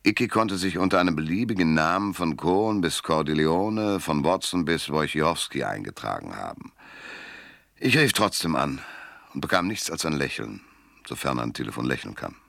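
A man's voice: extremely low pitch, sing-song, normal speed, vocal fry, a bit bright, dry recording, heavily acted. An actor playing a world-weary male private detective in a German hardboiled radio drama, dry, laconic and self-mocking. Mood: wry, reflective.

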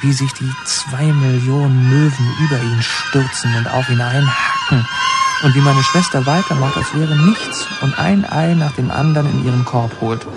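Male actor as thoughtful narrator looking back on his childhood, literary radio play, deliberate and evocative, music behind him. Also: mid-range voice, natural voice. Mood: urgent recollection. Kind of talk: literary radio drama narration, present tense. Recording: music under the voice.

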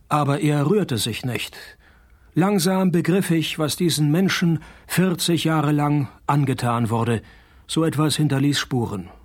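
A husky male private detective, pitched a little high for a man. He is cynical and sardonic, delivering radio play dialogue and noir-parody narration with dry, deadpan sarcasm. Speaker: lead character and narrator.

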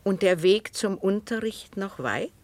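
Radio drama: actress as calm, musing woman, slow and lyrical with a mobile pitch, gently insistent questioner. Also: close to an everyday voice. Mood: calm, probing. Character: calm, musing, probing listener absorbed in knitting. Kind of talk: literary radio drama acting.

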